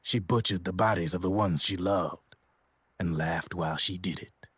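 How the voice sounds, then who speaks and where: Streetwise American arms dealer, glib and theatrical, low register, dry close game recording. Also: male voice, moderate pace, fairly bright tone, slightly rough, dry recording. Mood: grim storytelling. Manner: slangy, theatrical storyteller. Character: streetwise, glib arms dealer telling grim war stories. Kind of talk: video game cutscene voice acting.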